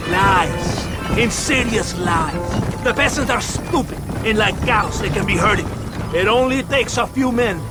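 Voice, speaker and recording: A man's voice: high-pitched, animated, a little slow. A man playing a haughty, scornful Mexican army officer in a video game, with a Mexican accent and emphatic, rhetorical delivery. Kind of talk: video game dialogue acting. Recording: loud music behind the voice. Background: loud music.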